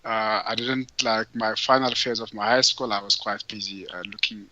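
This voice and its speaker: A man from Ethiopia, a guest answering interview questions, speaking haltingly with many fillers and restarts. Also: fairly low pitch, fairly even intonation, bright, forceful tone, dry recording, muffled recording. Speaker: guest entrepreneur.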